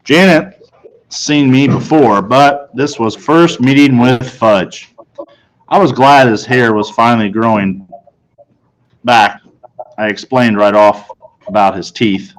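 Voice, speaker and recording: A man's voice: mid-range voice, slow pace, pauses often, a little hiss or hum, low-quality recording. A man narrating a children's novel aloud, as a storybook read-aloud.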